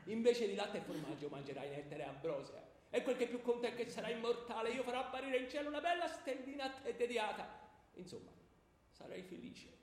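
A man's voice: extremely high pitch, expressive, brisk. A man playing an amorous, lordly king of the gods, grandly coaxing a boy in a comic radio theatre dialogue. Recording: roomy stage sound, faint hiss.

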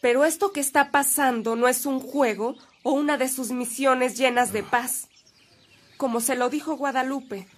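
Devota mujer mexicana, voz aguda. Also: female voice, high-pitched, steady tone, normal speed. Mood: anxious, insistent. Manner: emotive, earnest, dramatic. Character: devout, anxious Mexican woman, fervent sister of a priest. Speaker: radio drama actress. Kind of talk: Spanish-language radio drama acting.

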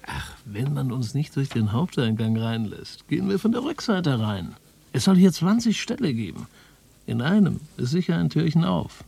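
A man's voice: medium pitch, very animated, moderate pace, creaky, mellow, slightly rough, full character voice. An actor playing a driven, insistent male radio reporter with a sardonic manner, in a naturalistic radio drama.

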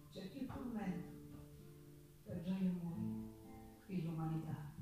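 A distant, heavily echoing stage recording of a Sicilian folk singer, a woman of sorrow and defiance. She declaims very slowly and theatrically in a Sicilian accent, with guitar music behind her. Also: high voice. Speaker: stage actress and singer in a one-woman show. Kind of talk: theatrical monologue with folk singing, live on stage. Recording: very echoey hall, distant mic, guitar behind.

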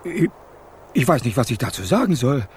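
Actor as a servile, flustered detective, very animated. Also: male voice, mid-range voice, moderate pace, slight background noise, lightly acted. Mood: flustered, apologetic. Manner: servile, formal, hesitant. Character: deferential, flustered male hired detective serving a rich lady. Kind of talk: radio crime play acting.

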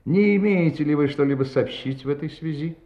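An actor playing a courteous, methodical police inspector in an audio drama, speaking in a polite, deliberate and pointed way. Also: male voice, a little high, reverberant, dull audio, lightly acted. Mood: formal, insistent. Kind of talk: audio drama acting, interrogation.

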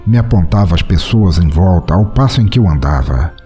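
A man reads a dark first-person tale with a brooding, bitter intimacy. His low, mellow voice moves expressively, with soft music beneath. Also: animated, a little fast. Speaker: podcast narrator. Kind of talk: dramatic first-person story narration.

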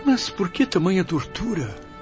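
An actor playing a courteous, bewildered viscount among the dead in a Brazilian radio drama, theatrical and plaintive. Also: male voice, high-pitched, big pitch swings, measured pace, darkish tone. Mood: yearning, anguished. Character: emotional, courteous, bewildered male viscount, newly dead spirit. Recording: dull lo-fi radio drama audio with music.

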